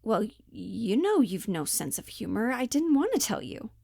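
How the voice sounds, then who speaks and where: A woman's voice: fairly high pitch, sing-song, normal speed, vocal fry, darkish tone, no room sound, studio quality, exaggerated voice. A woman playing an earnest, mildly condescending male office worker, hesitant and placating, in a cold-read stage play. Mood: placating, indignant.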